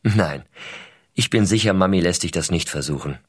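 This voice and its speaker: A man's voice: sits low, moderate pace, husky. An actor playing an American astronaut father who reads out his affectionate letters to his small son in an intimate storytelling way. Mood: playful, wondering. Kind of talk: dramatized reading of letters.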